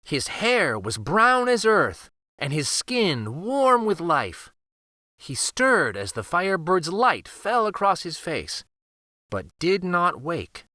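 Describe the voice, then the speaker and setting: Male children's story reader: unhurried, very expressive, bright tone, dry close recording, dramatic picture-book delivery. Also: high-pitched, dry recording. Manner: expressive, deliberate, theatrical.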